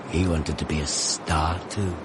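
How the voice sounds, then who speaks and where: A man's voice: a bass voice, heavy background noise, heavily acted. An actor playing a brooding, unhinged man who mutters a wistful, bitter monologue in a radio drama.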